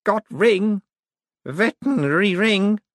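A man voicing a deranged, feverish man who slurs and mumbles in halting, broken phrases with flashes of pomposity, as in an audiobook. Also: high-pitched, expressive, no echo, studio quality, voice pushed up, exaggerated voice. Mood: dazed, mumbling. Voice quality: slurred, mumbling. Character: deranged, slurring, feverish man with imperious delusions. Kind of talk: audiobook character acting.

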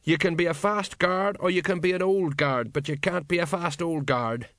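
A man's voice: on the high side, normal speed, fairly bright tone, dry recording, clean studio sound, voice pushed up. A man voicing an older male city watch sergeant who talks sadly and rambles through stories. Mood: mournful. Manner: sad, rambling, tells stories.

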